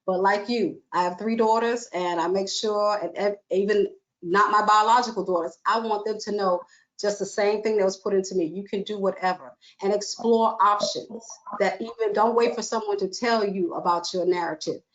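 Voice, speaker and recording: A woman moderating a webinar panel, brisk and encouraging as she moves to the next speaker. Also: medium pitch, fairly even intonation, muffled recording.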